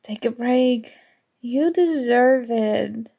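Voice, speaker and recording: A sarcastic young college woman, played by an actress in an ASMR audio roleplay, needles her academic rival. Her voice sits fairly high with a pronounced creak, and she takes her time over each teasing, exasperated jab. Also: very slow, mellow, heavily acted. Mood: sarcastic dismissive. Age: young adult. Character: snarky competitive college student, grudgingly fond academic rival.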